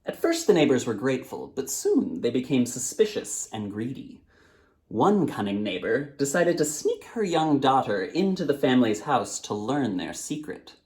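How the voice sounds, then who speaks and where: A woman's voice: sing-song, moderate pace, darkish tone. A woman voicing a withered, kindly old male storyteller who spins tales in a theatrical, mysterious way. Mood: storytelling. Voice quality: rumbling.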